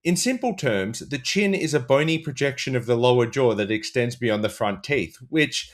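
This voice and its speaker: A man's voice: mid-range voice, expressive, moderate pace. A man chatting casually as a comedy panel guest, with dry, self-deprecating humour.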